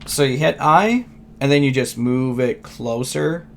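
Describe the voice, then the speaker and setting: A man with a hoarse, worn voice walks through a screen tutorial in a loose, rambling way, often stopping to clear his throat. His pitch sits in a plain middle range. Faint keyboard typing ticks along behind him. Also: a little slow, slight background noise. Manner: rambling, loose, thinks aloud with fillers. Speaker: software tutorial teacher. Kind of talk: casual screen-recorded tutorial.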